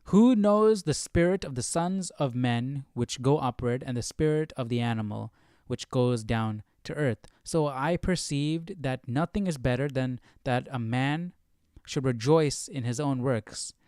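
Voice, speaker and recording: A man hosting a live Bible study in a casual, questioning way, thinking out loud as he reads and comments. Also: mid-range voice, unhurried, hardly any pauses, clean tone, no room sound, clean studio sound.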